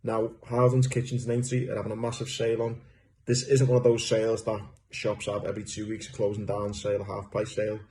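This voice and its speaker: A man's voice: fairly low pitch, monotone, fast talker, warm tone. A man reading a salesy, repetitive sponsor announcement for a podcast.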